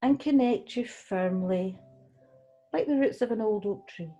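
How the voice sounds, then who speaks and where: A woman leading a guided relaxation meditation, speaking gently and soothingly, unhurried, with pauses between phrases. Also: mid-range voice. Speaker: guided meditation leader, tai chi qigong teacher.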